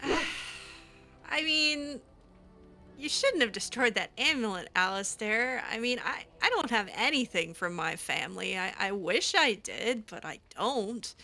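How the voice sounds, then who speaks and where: A woman's voice: a little high, expressive, measured pace, gravelly, bright, forceful tone, soft background music. A woman hosting a game playthrough, chatting casually in character with a teasing, sarcastic manner. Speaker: gaming video host, roleplaying as player character. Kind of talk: casual in-character roleplay commentary.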